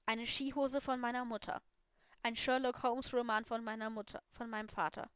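A woman reading her own fan fiction aloud as an amateur, in expressive first-person narration. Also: a little high, a little fast, smooth, no echo.

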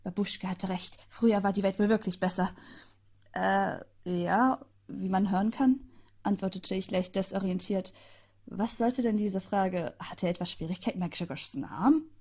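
A woman reads a first-person story aloud at a middle pitch. She chats along with sarcastic little asides, like an amateur audiobook. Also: steady tone, moderate pace, a little mellow. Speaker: narrator.

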